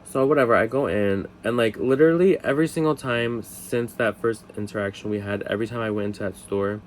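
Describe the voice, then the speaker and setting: A man in his twenties telling a casual story, chatty, swearing, with lots of "like" fillers and high-voiced impressions of other people. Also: a little fast, slight background noise. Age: 20s. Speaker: storyteller vlogger.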